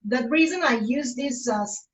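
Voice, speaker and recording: A woman from Malaysia, giving a casual cooking demonstration in a halting way, full of fillers and restarts. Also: high voice, slight background noise.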